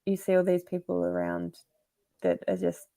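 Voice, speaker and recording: A woman's voice: lowish voice, monotone, normal speed, creaky, dark tone. A middle-aged woman hosting a casual livestream chat, chatty and laughing often as she reads comments aloud. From Australia. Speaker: host.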